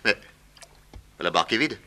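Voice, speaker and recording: An actor playing a confident, shrewd detective in a 1960s French radio crime serial, assured, wry and commanding. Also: male voice, fairly low pitch, expressive, normal speed, pushed and bright, a little hiss or hum. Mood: urgent, concerned.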